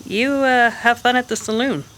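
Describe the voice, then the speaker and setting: A woman's voice: on the high side, fairly even intonation, moderate pace, fairly bright tone, close to an everyday voice, poor audio. An actress playing a plucky, practical saloon woman in an Old West radio drama, plainspoken and folksy. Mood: friendly, brisk. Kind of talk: old-time radio western acting.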